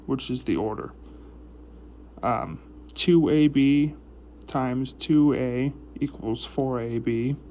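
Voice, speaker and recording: Male study-review host, slow and halting, flat delivery, some background noise. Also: medium pitch. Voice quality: speech impediment, trips over long words. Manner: halting, deliberate, choppy phrasing. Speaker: educational video host reviewing math and chemistry. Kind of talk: informal explanatory lesson, part read from notes.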